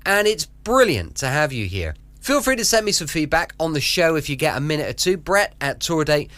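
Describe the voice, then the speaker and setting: A British man hosts a homely podcast in a chatty style. His voice is slightly high and very animated. It is recorded close and dry. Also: a little fast, dry recording. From United Kingdom. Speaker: podcast host. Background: faint music.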